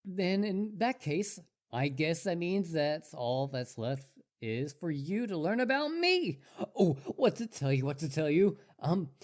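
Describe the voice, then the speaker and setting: A performer voicing a clingy, excitable young wolf boy. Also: mid-range voice, lightly acted. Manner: stammering, eager, earnest, over-devoted. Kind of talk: roleplay audio drama monologue to listener.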